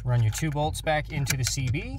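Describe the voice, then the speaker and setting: Higher-pitched young American man, instructional. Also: animated, a little fast. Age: young adult. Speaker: host of a car DIY channel. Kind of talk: instructional how-to narration. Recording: clear background noise, clicks and jangling.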